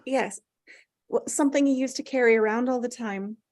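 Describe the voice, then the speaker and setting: A woman acts an anxious, grieving mother in a drama read-through. The voice sits fairly high. She speaks haltingly, apologetic yet gently insistent. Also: natural voice. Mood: wistful. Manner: hesitant, halting. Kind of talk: table read of a drama script.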